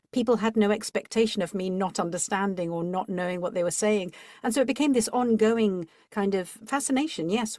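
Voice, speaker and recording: Curious, expressive woman in her fifties. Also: medium pitch, dry recording, studio quality. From England. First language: Spanish. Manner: thoughtful, curious, expressive storyteller. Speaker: guest, audiobook narrator. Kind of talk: reflective interview talk.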